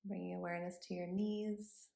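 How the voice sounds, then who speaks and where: Low-pitched woman guiding meditation, roomy echo. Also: flat delivery, moderate pace, gravelly, mellow. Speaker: yoga therapy instructor. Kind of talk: guided body scan meditation. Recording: roomy, echoing sound.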